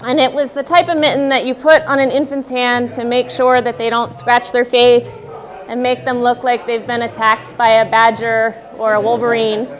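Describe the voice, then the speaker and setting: A woman in her thirties telling a wry, self-deprecating story on stage at a live storytelling night, in a loud voice. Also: a little high, flat delivery, normal speed.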